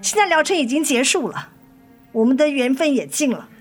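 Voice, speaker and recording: A woman's voice: sits high, animated, fairly quick. A woman acting a weary, resolute wife and mother in a radio drama, firm and reproachful with her husband. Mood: indignant. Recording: studio radio drama with music underneath.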